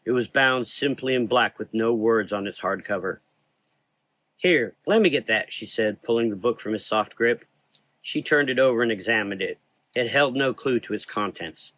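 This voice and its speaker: Bright-voiced man narrating gothic horror. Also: fairly low pitch, moderate pace, dry recording.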